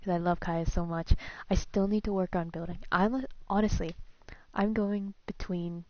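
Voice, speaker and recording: A woman's voice: on the low side, fairly even intonation, normal speed, dry recording. Casual young woman streamer, slightly muffled. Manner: musing, informal. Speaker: game streamer. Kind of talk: casual chat while gaming. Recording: slightly dull stream audio, game music. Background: faint game music.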